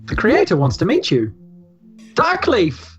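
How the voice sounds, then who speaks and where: A man's voice: high voice, wildly swooping pitch, unhurried, fairly bright tone, faint music behind, voice pushed up, full character voice. Guy doing a tiny pushy metal robot for a tabletop game, slightly muffled sound. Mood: insistent. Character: tiny blinking metal robot seed with LED eyes.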